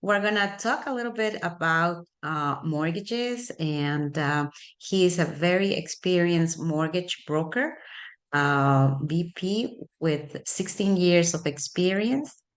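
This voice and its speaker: A woman's voice: on the low side, slow pace. A woman hosting an interview show, introducing her guest and asking questions in a friendly, chatty way with frequent uhs and ums. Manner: friendly, chatty, hesitant fillers. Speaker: podcast host, real estate broker.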